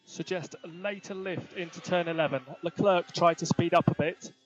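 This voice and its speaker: A man's voice: high voice, steady tone, normal speed. A man working as a race engineer, giving terse, matter-of-fact instructions to a driver over team radio. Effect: team radio transmission. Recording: muffled team radio channel.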